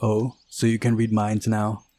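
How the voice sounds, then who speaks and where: A man's voice: fairly low pitch, completely flat delivery, a little slow, warm tone, faint music behind, natural voice. A man voicing an ordinary young man, the sarcastic, weary and irritable hero of a visual novel. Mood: weary irritated.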